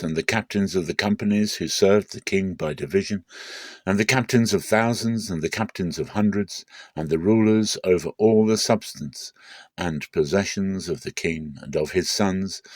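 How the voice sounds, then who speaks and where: A man narrating a Bible passage as a measured, deliberate dramatic scripted reading. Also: on the low side, dry recording.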